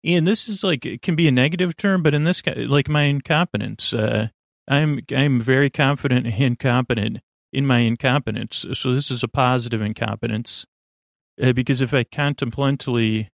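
A man hosting a podcast with a rambling bedtime monologue, halting and meandering, often trailing off mid-word. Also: medium pitch, vocal fry, no echo, studio quality. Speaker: podcast host.

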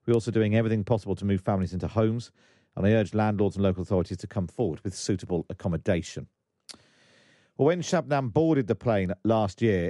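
A man presenting and interviewing on radio, moving briskly between report and friendly questions. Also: on the low side, warm tone, no room sound, clean studio sound. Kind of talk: broadcast interview.

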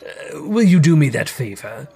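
A man's voice: fairly high pitch, sing-song, normal speed, gravelly, warm tone, husky, full character voice. A man voicing a reformed old miser in a storybook, gleeful, kindly, effusive and courteous. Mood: earnest, warm. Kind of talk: dramatized storybook reading.

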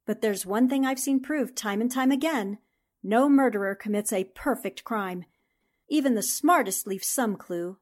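An actress playing a brave, sharp young newspaper reporter in a 1940s-style radio mystery, speaking in an earnest, theatrical way. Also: female voice, on the high side, moderate pace, lightly acted. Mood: earnest, determined.

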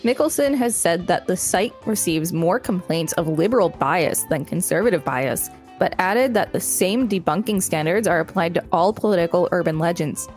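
A woman's voice: lowish voice, animated, moderate pace, hardly any pauses, darkish tone, soft background music. A woman co-hosting a podcast, reading articles aloud and chatting casually about them. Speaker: podcast co-host.

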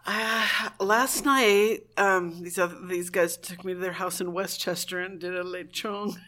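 A woman in a casual conversation, animated and laughing often, with a lot of fillers as she talks. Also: lowish voice, a little slow. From Cuban family in Southern California. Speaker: guest, blogger. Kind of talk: casual chat.

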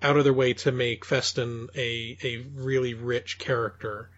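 A man co-hosting a podcast in casual conversation, haltingly repeating words as he searches for his phrasing. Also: medium pitch, flat delivery, fairly bright tone. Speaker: podcast co-host. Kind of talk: casual chat reviewing audio dramas. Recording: dull, lo-fi voice recording.

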